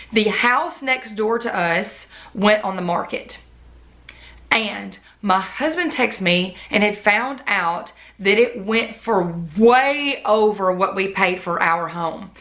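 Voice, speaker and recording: Bright-voiced woman, voice still worn. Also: medium pitch. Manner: chatty, talks in long run-on stories. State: voice still recovering, slightly worn. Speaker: budgeting vlogger. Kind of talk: casual off-the-cuff chat to camera.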